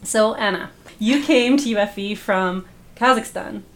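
A woman's voice: mid-range voice, unhurried. A woman hosting a podcast from a radio studio, encouraging and friendly with many fillers. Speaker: podcast host. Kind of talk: podcast interview.

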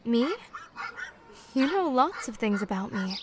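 An actress playing a gentle, guarded mermaid who passes as an ordinary young woman, hesitant and naive, in an audio drama. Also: a little high, big pitch swings, a little slow, background noise. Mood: evasive, puzzled. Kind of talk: naturalistic audio drama acting.

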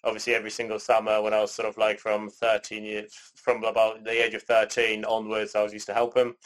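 A man raised in Canada, co-hosting a podcast in relaxed casual chat, rambling with lots of "you know" and "sort of.". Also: fairly low pitch, fairly quick. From born in Poland, raised in Canada. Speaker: podcast co-host.

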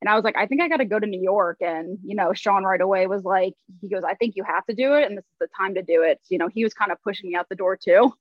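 A dry, slightly muffled recording of a woman from Ohio. She talks casually as an interview guest, in a mid-pitched voice and a conversational style. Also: fast, hardly any pauses, no room sound. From Ohio, United States. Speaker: podcast guest.

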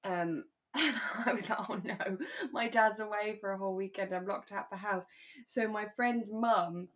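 A woman's voice: medium pitch, moderate pace, fairly bright tone. A British woman co-hosting a podcast, chatting casually and conversationally about a radio drama, with a giggly streak. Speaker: podcast co-host.

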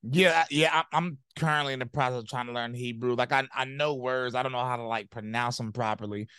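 A man's voice: medium pitch, steady tone, brisk, bright, forceful tone, clear, resonant tone, no room sound. A man interviewing a guest, asking questions in a casual, hesitant way with many fillers.

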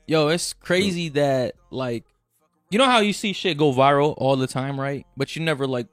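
A man's voice: a little high, moderate pace, fairly bright tone, dry recording, soft background music. A young man hosting a casual podcast, talking loosely with slang and lots of fillers. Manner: laid-back slangy talk, many "like" and "yo". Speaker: podcast host.

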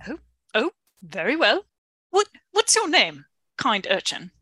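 A woman's voice: on the high side, wildly swooping pitch, unhurried, pushed and bright, husky, no room sound, studio quality, heavily acted. A woman voicing a flustered, polite and earnest female professor in a melodramatic radio drama, with a fretful, hesitant manner.

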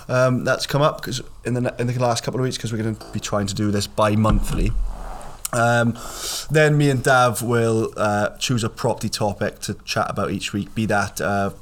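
A man co-hosting a property podcast, chatting casually and loosely with plenty of fillers. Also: medium pitch. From Wales. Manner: relaxed, rambling with fillers. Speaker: podcast co-host.

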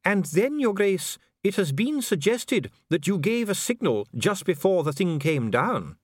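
A man voicing a calm dwarf official with a measured, bureaucratic, smoothly courteous manner in an audiobook. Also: sits high, no echo, clean studio sound, pitched above the performer's own voice, full character voice. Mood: coolly polite. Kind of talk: audiobook character voice.

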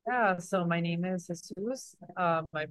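Young guy from mexico, kinda high voice, lots of likes and ums, chatty interview answers. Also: measured pace, a bit bright, no echo, studio quality. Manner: rambling, many fillers and restarts. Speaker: interview guest. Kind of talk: casual interview talk.

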